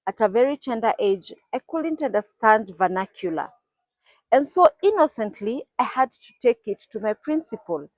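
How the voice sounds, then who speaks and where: A woman's voice: on the high side, big pitch swings, normal speed, a little mellow, no echo, poor audio. A Kenyan woman in her forties, earnestly telling her own life story to her channel's viewers. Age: 40s. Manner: earnest, reflective, with occasional restarts. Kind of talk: personal storytelling to camera.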